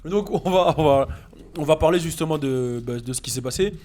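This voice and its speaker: A French man with a French accent, hosting a casual chat about rap, relaxed and full of fillers and restarts. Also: fairly high pitch, brisk. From France. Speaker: podcast host.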